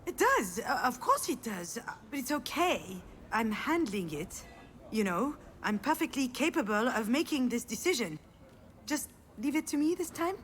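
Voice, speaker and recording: A woman's voice: sits high, very animated, normal speed, fairly bright tone, slight background noise. A woman playing a guarded, wry young failed screenwriter in a visual novel, with a dry, sardonic, confiding manner. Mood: flustered, defensive. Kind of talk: visual novel voice acting with inner monologue.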